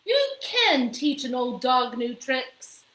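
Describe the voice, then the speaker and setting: A woman's voice: high voice, very animated, slow, a bit bright, full character voice. A woman reading a storybook aloud and voicing a motherly older female neighbor with a fussy, homespun manner. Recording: roomy echo.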